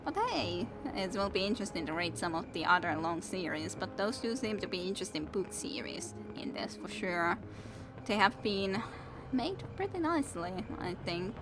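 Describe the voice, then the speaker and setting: A young woman streaming a game and chatting with viewers in a soft, musing way; English is not her first language and her voice is worn out from long reading. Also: medium pitch, very animated, normal speed, background noise. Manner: soft, musing, self-talking. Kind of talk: casual gameplay chat with viewers. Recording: game music in background.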